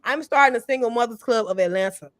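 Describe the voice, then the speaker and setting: A woman's voice: fairly high pitch, quick pace, dry recording, studio quality. A woman in her thirties chatting casually on a panel, blunt and emphatic, with a lot of swearing. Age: 30s. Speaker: podcast panelist. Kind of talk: casual chat.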